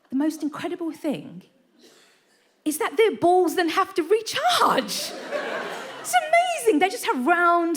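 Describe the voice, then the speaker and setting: A woman performing live stand-up comedy in a conversational way, often tagging her lines with "right?". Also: high-pitched. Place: on stage in a radio theatre. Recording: live theatre with audience noise. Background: audience.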